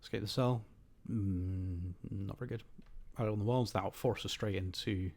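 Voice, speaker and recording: A slightly hoarse man giving rambling, wry, opinionated commentary while playing a game. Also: on the low side, steady tone, moderate pace, darkish tone. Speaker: gaming host. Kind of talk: casual gameplay commentary.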